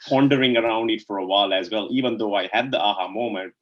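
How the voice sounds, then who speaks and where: A low-pitched man from Sri Lanka speaks as an interview guest, circling his points with "like, you know" and tagging them with "right?". The sound is a little muffled and lo-fi. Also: fast talker. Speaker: guest, DeFi co-founder. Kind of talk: casual interview answers.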